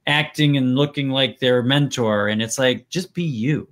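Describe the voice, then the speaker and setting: A man with a Wisconsin accent talks loosely as an interview host, often restarting his sentences. His voice sits at an ordinary middle pitch. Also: moderate pace. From Wisconsin, USA. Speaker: podcast host. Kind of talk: casual interview chat.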